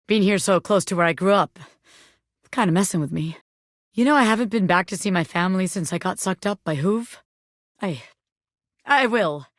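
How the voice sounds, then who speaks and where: A woman voicing a cocky, bossy small-time gangster boss, a living pin in a video game, who talks tough but has a soft spot. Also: medium pitch, no room sound, studio quality. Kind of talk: video game character voice acting.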